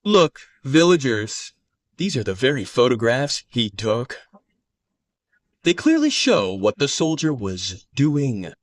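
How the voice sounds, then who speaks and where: A man playing a scheming, villainous village chief in an animated moral story, declaiming accusations and orders. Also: medium pitch, takes it slowly, no echo, recorded from a distance. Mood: accusing.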